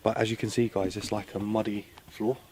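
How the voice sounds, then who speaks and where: A man with a fairly low voice hosts a ghost-hunting vlog in a casual, conversational way. The recording is noisy handheld camera audio, with clear rustle and background noise around his words. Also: flat delivery, a little fast, darkish tone. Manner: addresses viewers and calls out questions to spirits. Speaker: paranormal investigation host. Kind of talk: casual exploration vlog talk. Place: old stone tower and muddy surroundings.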